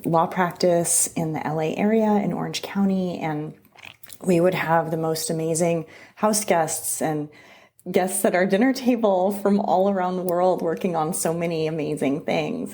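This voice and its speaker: A middle-aged woman from Southern California, an immigration attorney telling her story as an interview guest, with frequent restarts. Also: lowish voice. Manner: warm storytelling with many restarts. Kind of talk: conversational interview.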